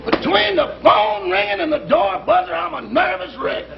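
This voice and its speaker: An actor playing an unhelpful, cheeky valet in a 1950s sitcom, with a gravelly voice and deadpan, unhurried comebacks. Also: male voice, very high-pitched, exaggerated voice. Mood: exasperated. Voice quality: gravelly, raspy. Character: unhelpful, cheeky male valet on his day off. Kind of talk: 1950s sitcom acting before an audience. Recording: muffled, noisy 1950s recording with studio audience.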